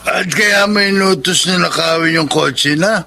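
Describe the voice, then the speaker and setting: A man's voice: high-pitched, a little slow, creaky, sharp tone, heavily acted. A man playing a nosy, incredulous drinking buddy in a Tagalog radio drama. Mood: curious, doubtful. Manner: inquisitive, incredulous.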